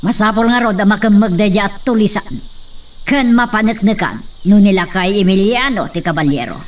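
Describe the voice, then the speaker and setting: A woman's voice: mid-range voice, normal speed, far from the mic, a little hiss or hum, close to an everyday voice. An actress with an Ilocano accent playing a determined, vengeful young woman in a radio drama. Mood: serious.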